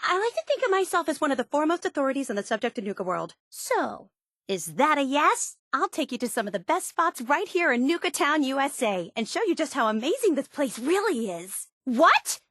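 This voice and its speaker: A woman's voice: sits high, very animated, fairly quick, fairly bright tone, no room sound, clean studio sound. A woman voicing a bubbly, obsessive young female superfan in a video game, earnest and gushing. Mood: offended. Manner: gushing, earnest, wide-eyed enthusiasm. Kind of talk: video game character voice acting.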